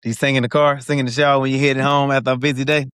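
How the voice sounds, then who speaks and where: A man hosting a casual podcast interview, speaking haltingly and repeating words as he starts his sentences. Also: mid-range voice, little pitch movement, a bit bright, clean tone, no room sound, clean studio sound.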